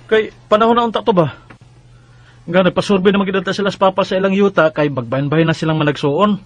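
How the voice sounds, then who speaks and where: A Filipino actor with a Visayan accent playing an indignant, protective grown son from a poor family in a radio drama. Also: male voice, sits high, dull audio. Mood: aggrieved. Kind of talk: Bisaya radio drama acting and narration. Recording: radio drama with faint music behind. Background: faint music.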